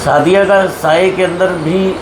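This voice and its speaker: A man's voice: sits high, fairly even intonation, a little slow. A man speaking as an association leader in an interview, earnestly appealing to members and repeating points for emphasis. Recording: echoey room with background noise.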